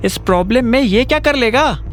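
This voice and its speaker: Man voicing a shrill, scolding middle-aged mother-in-law. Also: lively intonation, brisk. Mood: mocking. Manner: scolding, sarcastic rhetorical questions. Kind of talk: Hindi audio drama acting.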